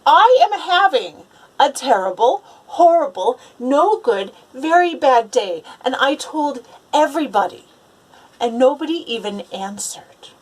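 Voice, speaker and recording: A woman's voice: sits high, very animated, measured pace, pitched above the performer's own voice, heavily acted, low-quality recording. A woman voicing a grumbling young boy in a children's storybook, complaining emphatically with words spaced out. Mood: exasperated. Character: grumbling, aggrieved young boy narrating his awful day. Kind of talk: children's storybook read-aloud.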